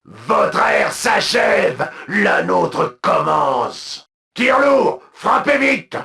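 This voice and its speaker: An actor voicing a proud, zealous alien military commander for a video game, with a gravelly voice and solemn, imperious, clipped delivery. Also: male voice, high-pitched, big pitch swings, drawn-out words, sharp tone, exaggerated voice. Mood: defiant, aggressive. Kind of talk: video game unit voice lines, declamatory.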